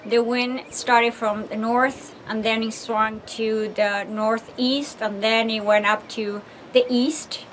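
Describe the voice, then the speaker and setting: A woman in her forties who grew up in Argentina answers questions outdoors, a camera mic close to her. Her voice sits a bit high, and she walks through the day's sailing with small pauses and fillers. Light outdoor ambience hums behind her. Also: little pitch movement, a bit bright, a little hiss or hum, rough recording. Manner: thoughtful, explains step by step with fillers. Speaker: sailor interviewed at a regatta. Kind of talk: casual interview answers. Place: outdoors beside a building, trees and cars.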